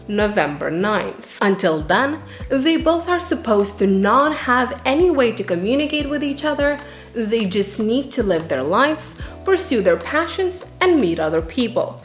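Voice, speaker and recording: Lady doing a book review, highish voice, faint music behind her. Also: echoey room. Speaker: book reviewer, video host.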